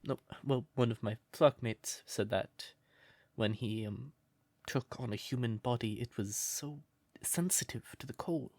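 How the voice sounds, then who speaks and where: Casting: male actor as shy crow in human form, sweet and devoted, mid-pitched, unhurried, gentle stammer, for intimate roleplay audio. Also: no room sound. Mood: shy, grateful. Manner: hesitant, stammering, gentle and breathy. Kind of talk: soft roleplay audio, intimate character acting.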